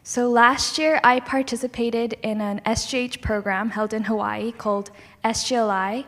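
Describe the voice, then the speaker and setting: A woman's voice: on the high side, steady tone, normal speed, a bit bright. A teenage girl from Hong Kong introducing herself fluently and confidently as a student panelist. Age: teens. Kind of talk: prepared self-introduction. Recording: echoey hall.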